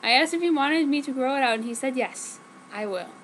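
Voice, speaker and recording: Female reader, high register, theatrical fan-fiction narration, deadpan on every typo, slightly noisy recording. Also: fast talker. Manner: theatrical, reads misspellings literally. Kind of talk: dramatic comic reading of fan fiction.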